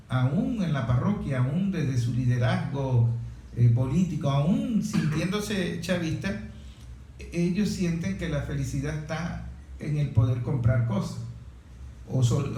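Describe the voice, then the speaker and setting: Mellow Venezuelan man in a very echoey room. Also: fairly high pitch, a little slow. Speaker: interview host. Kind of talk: conversational political discussion.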